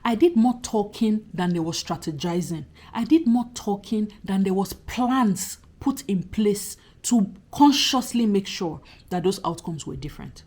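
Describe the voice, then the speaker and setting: Slightly husky woman vlogging earnestly. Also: mid-range voice, expressive, a little slow, few pauses, darkish tone. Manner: earnest, chatty, emphatic repetition. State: recovering from a cold. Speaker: YouTuber talking to subscribers. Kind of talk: casual reflective vlog monologue.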